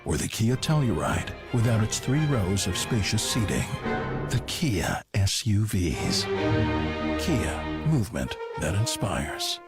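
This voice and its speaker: Male car ad announcer, lowish and lively, loud music underneath. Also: slow pace. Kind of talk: scripted car ad narration.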